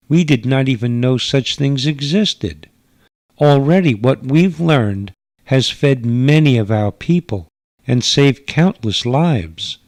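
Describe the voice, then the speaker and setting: A man plays an alien mother in a sci-fi radio play, recorded close and dry. She speaks in formal, careful phrases, earnest and stern, sometimes halting for a word. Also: medium pitch, no room sound. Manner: formal, careful foreigner's wording, halting for words. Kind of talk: radio drama narration with character voices.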